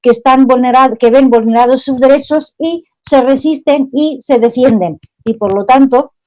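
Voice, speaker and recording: A woman from Palestine, an activist speaking earnestly at an online panel over a video call microphone, pausing often with "eh" and restarting her sentences. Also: high voice, a little mellow, low-quality recording. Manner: earnest, fervent, with frequent "eh" pauses and restarts. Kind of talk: impassioned talk at an online panel.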